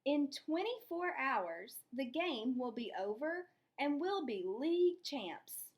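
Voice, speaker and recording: A determined young soccer girl from a storybook, read by a woman. She sounds earnest and eager, speaking slowly in a fairly high voice. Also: sing-song, heavily acted.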